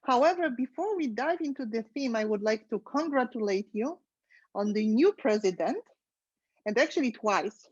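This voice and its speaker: A woman hosting a podcast interview, enthusiastic and deliberate as she introduces her guest. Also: a little high, animated, a little mellow, slightly rough, no echo, highs cut off. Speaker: podcast host.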